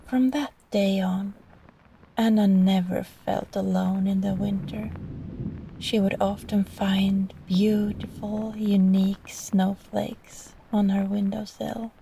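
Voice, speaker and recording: Slow soft-spoken lady doing a sleepy bedtime story, breathy, bit of creak in her voice. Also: medium pitch, flat delivery, slight background noise, poor audio. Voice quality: soft, breathy, often near whisper. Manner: gentle, slow, soothing delivery. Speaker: storyteller, voice actress. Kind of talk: soft bedtime story reading, sleep-aid ASMR roleplay.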